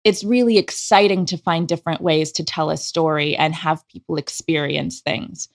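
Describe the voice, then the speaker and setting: Low-pitched woman explaining with enthusiasm. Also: fairly even intonation, normal speed, gravelly. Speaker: guest, audio drama creator. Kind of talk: enthusiastic interview conversation.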